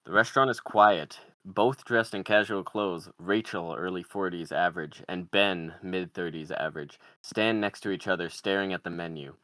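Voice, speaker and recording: Male table-read narrator, low voice, dry close sound, delivering stage directions. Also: normal speed, few pauses, sharp tone, dry recording. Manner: reads out screenplay directions. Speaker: podcaster reading stage directions.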